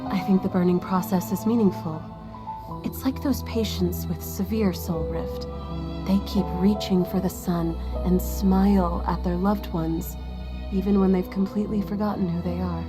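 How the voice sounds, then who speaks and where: A woman playing a thoughtful, sorrowful mentor in a story game, speaking in an earnest, reflective and measured way. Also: lowish voice, fairly even intonation, echoey room, over music, natural voice. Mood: earnest, resolute. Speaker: voice actor. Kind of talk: dramatic game voice acting.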